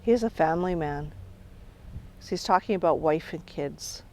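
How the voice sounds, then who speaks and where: A middle-aged woman with a lowish voice talks as a spirit medium, calm and reflective, her sentences often fading softly. She is recorded outdoors on a coastal island. Faint outdoor noise sits behind her. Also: moderate pace. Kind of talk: on-location reality show narration.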